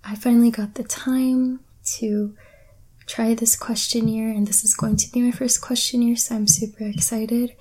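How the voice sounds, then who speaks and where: A woman's voice: a little high, little pitch movement, normal speed, warm tone, husky, poor audio. A tired young woman from Pakistan, whose first language is Urdu, casually answering a questionnaire with rambling talk and many ums. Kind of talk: casual chat.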